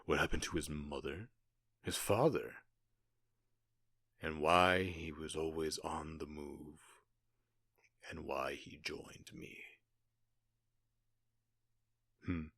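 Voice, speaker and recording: Guy doing a smug villain gang leader, asmr roleplay, real deep voice, dry close sound, long dramatic pauses, polite but threatening. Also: fairly even intonation, a bit bright, no room sound, full character voice. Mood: smug, taunting. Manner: theatrical, drawn-out pauses, mock-polite menace. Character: menacing male outlaw gang boss, smug, taunting, cruel.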